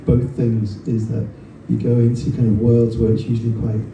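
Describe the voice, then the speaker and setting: Male book-event host, creaky muted voice, joking, conversational, distant echoey recording, faint hiss. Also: medium pitch, monotone, normal speed, warm tone, muffled recording. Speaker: fellow author hosting the discussion. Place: indoor bookshop event, recorded from the audience. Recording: echoey room, recorded from afar, faint noise.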